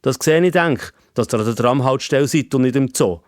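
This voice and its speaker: A man's voice: medium pitch, a little fast, no room sound, clean studio sound, natural voice. A man with a Swiss German accent voicing an old-fashioned civil servant in a story, pedantic, formal and insistent. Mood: loud, insistent.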